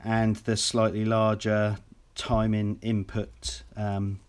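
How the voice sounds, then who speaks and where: Man, stuffy nasal voice from a cold, flat steady delivery, roomy workshop echo. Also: lowish voice, takes it slowly. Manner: methodical, explanatory, with fillers. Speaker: motorcycle engine builder. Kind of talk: workshop how-to vlog.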